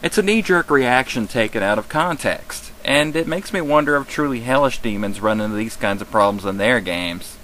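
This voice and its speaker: A man's voice: medium pitch, lively intonation, normal speed, few pauses, sharp tone, slight background noise, rough recording. A man hosting a web series, reading scripted comic commentary in a sarcastic, emphatic way. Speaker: host of a web series on roleplaying games.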